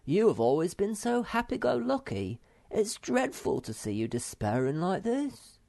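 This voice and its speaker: A young troll with an earnest, eager voice, as a man puts him on, pitched well above the reader's own. It is recorded close and dry, with a little gravelly texture. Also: wildly swooping pitch, a little slow, dark tone, no echo, heavily acted.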